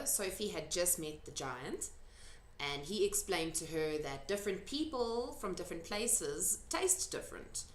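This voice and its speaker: A woman's voice: on the low side, slight background noise. A woman reading a children's storybook aloud expressively, with informal asides. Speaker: storybook reader. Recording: echoey room.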